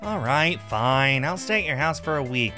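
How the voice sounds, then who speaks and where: A man voicing an angry young female demon girl in a visual novel, forceful and threatening. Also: mid-range voice, animated, normal speed, faint music behind, voice pushed up. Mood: grudging.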